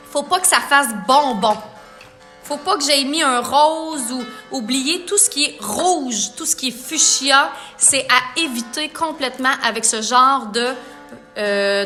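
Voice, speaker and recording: Brief: chatty Quebec woman, high and bright, live makeup demo, faint music behind. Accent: Quebec French. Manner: chatty, playful, reads viewer comments aloud. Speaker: makeup artist.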